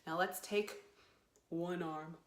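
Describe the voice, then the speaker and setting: A woman hosting storytime for young children, speaking in a playful, expressive, simple way as she guides and reads aloud. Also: fairly low pitch, takes it slowly, roomy sound.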